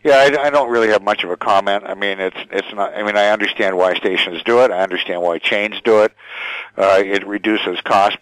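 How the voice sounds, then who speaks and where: A man in his sixties speaking reflectively as a radio executive being interviewed, feeling his way through his sentences in a halting, thoughtful manner. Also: low voice, fairly bright tone, no room sound, low-quality recording. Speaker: radio station general manager, interview guest. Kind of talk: conversational interview, reflective storytelling.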